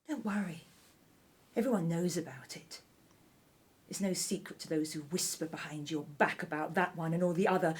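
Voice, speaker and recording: A woman's voice: lowish voice, darkish tone, lightly acted. A woman playing a ruthless, vengeful royal wife in a radio drama, haughty and cutting in manner.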